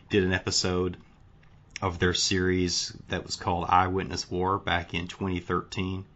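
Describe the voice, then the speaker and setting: Deep-voiced tired podcast host. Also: male voice, a bit bright, highs cut off. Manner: folksy, rambling with restarts. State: tired, late at night. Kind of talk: casual conversation.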